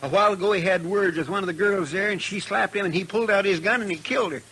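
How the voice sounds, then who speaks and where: A man's voice: high-pitched, fast talker, sharp tone. A man playing an earnest, loyal cowtown sidekick in a 1950s western radio drama, with a drawling rural American western accent. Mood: urgent. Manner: drawling, plain-spoken, deferential. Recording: noisy 1950s radio recording, outdoor sound effects.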